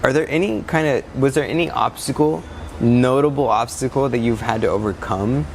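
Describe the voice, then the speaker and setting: A man with a mid-range voice hosts a relaxed interview over cocktails. He talks casually and warmly, with a touch of swearing. A little background noise comes through. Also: mid-range voice, a little fast. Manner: laid-back, friendly, casual swearing. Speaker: podcast host.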